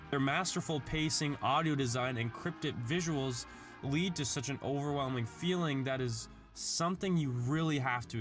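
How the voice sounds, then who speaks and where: A man reading an earnest, articulate scripted narration in the style of a video essay. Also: medium pitch. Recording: background music under the voice. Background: music.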